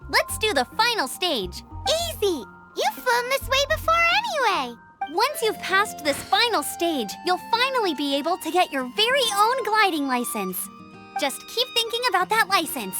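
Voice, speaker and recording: A woman's voice: extremely high pitch, big pitch swings, normal speed. A woman voicing a perky, eager young female gliding instructor in a video game, bubbly and encouraging. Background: game music.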